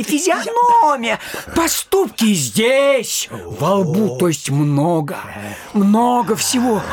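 An actor playing a flustered, self-important town gossip in a comic audio drama, stammering, obsequious and given to digressions. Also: male voice, extremely high pitch. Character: excitable, fussy town gossip, a man, breathlessly self-important.

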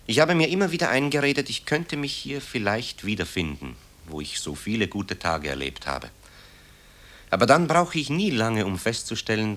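A man's voice: lowish voice, a bit bright. An actor playing a bitter, guilt-ridden composer who accuses his mother in eloquent, theatrical radio play style. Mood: bitter, agitated. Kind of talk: German radio play acting.

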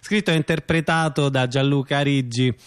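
A man's voice: mid-range voice, fairly even intonation, normal speed, clean tone. A man hosting a casual live radio interview with theatre guests.

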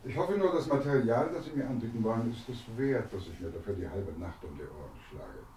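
Bossy irritable blackmailer guy, lowish swoopy voice, radio play, echoey room. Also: sing-song, a little fast, dark tone. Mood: impatient. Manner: brusque, imperious. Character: irritable, demanding male blackmailer. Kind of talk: radio drama acting. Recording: echoey, distant, noisy room.

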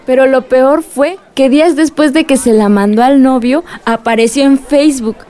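A woman's voice: high-pitched, moderate pace, mellow, lightly acted. A woman playing an earnest young community activist in a radio drama. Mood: earnest, concerned. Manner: earnest, reporting.

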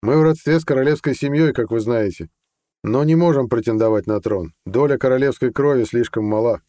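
A man's voice: medium pitch, fairly quick, mellow, dry recording, clean studio sound, voice pushed up, close to an everyday voice. A man voicing a blunt, ambitious army colonel, plain-spoken and earnest, in an audiobook. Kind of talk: audiobook character dialogue.

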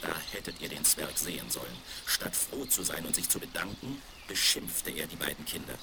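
A man's voice: very deep voice, sing-song, normal speed, gravelly. A man reading a scripted fairy tale for children as the narrator of a radio play. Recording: crackly, very noisy 1970s vinyl record.

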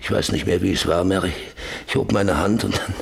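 An actor playing a guilt-ridden middle-aged husband, brooding and confessing in a German radio play monologue. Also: male voice, sits low, steady tone, fairly quick, vocal fry, breathy edge, full character voice. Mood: haunted confessing.

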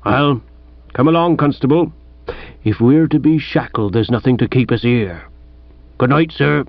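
A man voicing a ponderous, aggrieved village police sergeant in a comic audiobook, with a British accent and a dark, slow manner. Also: medium pitch, dry recording, dull audio, natural voice. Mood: resigned. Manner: slow, dark, uneducated grammar.

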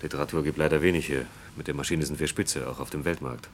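An ambitious young engineer in a radio drama, over clear background noise. His voice is very low, and he sounds cool, self-assured and matter-of-fact. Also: steady tone, quick pace. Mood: intent, matter-of-fact. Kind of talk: German radio play acting.

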